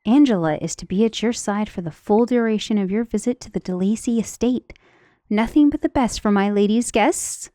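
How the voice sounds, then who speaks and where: Woman acting a mellow, deferential head servant. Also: medium pitch, normal speed, dry recording, studio quality, lightly acted. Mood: obliging. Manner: formal, polite, obliging. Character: deferential, dutiful female head servant of estate. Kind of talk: scripted audio roleplay drama.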